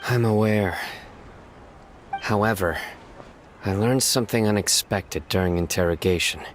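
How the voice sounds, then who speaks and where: Man acting deep, brooding young lawman. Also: a little hiss or hum, close to an everyday voice. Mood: solemn. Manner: solemn, measured. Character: stern, brooding young male lawman, reserved and dutiful. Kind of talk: scripted video game character dialogue. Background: music.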